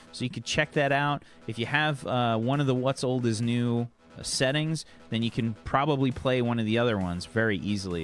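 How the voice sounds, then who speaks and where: A man as game master, rambling through a casual stream wrap-up with many ums and thanks. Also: mid-range voice.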